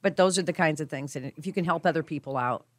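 A woman with a low, deep-set voice chats in a dry, close studio sound. She is animated and jokey as she co-hosts, tossing in quick asides and tips. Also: no room sound. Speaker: radio show co-host. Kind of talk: casual chat.

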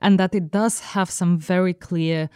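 A woman co-hosting a podcast, making measured, reasoned points in casual discussion. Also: lowish voice, fairly even intonation, a little mellow, clear, resonant tone, no room sound, studio quality. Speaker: podcast co-host.

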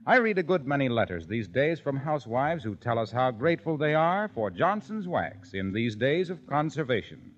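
A man announcing on 1940s radio in an earnest, polished sponsor-pitch style. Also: big pitch swings, moderate pace, few pauses, slight background noise. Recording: dull 1940s radio broadcast.

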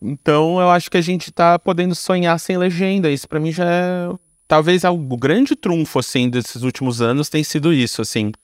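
A Brazilian man talks casually as an interview guest. His voice sits a little high for a man and is recorded very dry. He phrases things informally, with slang and frequent tag questions. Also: moderate pace, smooth, no echo. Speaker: guest, screenwriter and filmmaker. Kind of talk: casual conversational interview answers.

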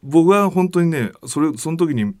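Animated male guest, close dry sound. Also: on the high side, dry recording. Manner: polite, halting, searching for words. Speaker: podcast guest, painter. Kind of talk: conversational explanation.

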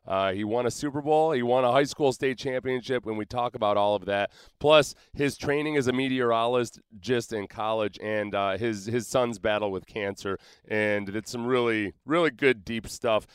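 A man in his forties talks casually as a podcast host and interviewer. His voice is smooth and clear, with a close, dry sound and very little room around it. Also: medium pitch, normal speed, hardly any pauses, no room sound. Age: 40s. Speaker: former professional football player. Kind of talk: casual chat.

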